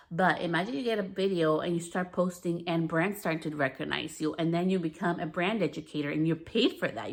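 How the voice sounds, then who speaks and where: A woman in her thirties with a deeper natural voice, hosting a podcast in a frank, candid monologue that swears freely. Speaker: podcast host.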